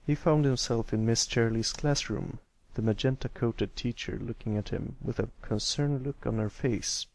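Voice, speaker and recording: A man's voice: medium pitch, normal speed, creaky, few pauses, a little mellow. A man reading scripted story narration as an amateur narrator. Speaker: amateur fan fiction narrator.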